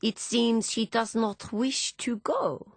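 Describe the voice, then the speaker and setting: A woman's voice: fairly high pitch, slow pace, dry recording, pitched above the performer's own voice. A woman voicing an arrogant, leering French man in an audiobook, with a French accent and a smug, suggestive drawl.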